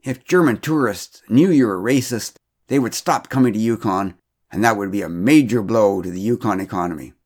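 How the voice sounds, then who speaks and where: A man's voice: lowish voice, lightly acted. A man doing a pompous, indignant businessman. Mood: outraged. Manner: loud, slow and declaiming. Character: indignant middle-aged immigrant businessman, pompous.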